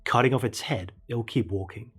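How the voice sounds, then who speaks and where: A man in his thirties narrating a scary story in a theatrical, ominous way with deliberate emphasis. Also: lowish voice, husky. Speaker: horror storyteller, show host. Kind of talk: dramatic creepypasta narration. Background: dark ambient music.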